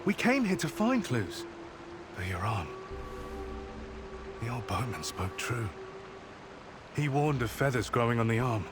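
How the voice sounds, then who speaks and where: A man's voice: mid-range voice, sing-song, unhurried, pauses often, over music. An actor playing a concerned, loyal male scout in a game cutscene, speaking earnestly and explaining as he goes. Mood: worried, urgent. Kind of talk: scripted game cutscene dialogue. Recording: clear ambient noise behind the voice.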